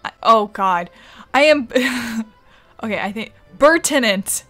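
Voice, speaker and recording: A woman chats casually as she plays through a game. Her voice sits fairly high, and her remarks are informal and offhand. There is faint music behind her. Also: expressive, slow pace, bright, forceful tone. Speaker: gamer playing through a story. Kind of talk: casual gameplay commentary.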